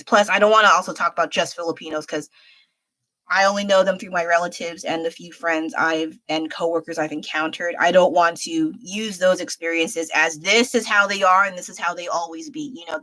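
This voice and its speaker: A young woman from the States talks frankly on a late-night stream while she paints, thinking out loud and laughing easily. Her voice sits a little low but sounds bright and forward. She rambles in a loose, casual way. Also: poor audio. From United States. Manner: chatty and frank, laughs often, thinks aloud. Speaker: livestreaming artist. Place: home at night.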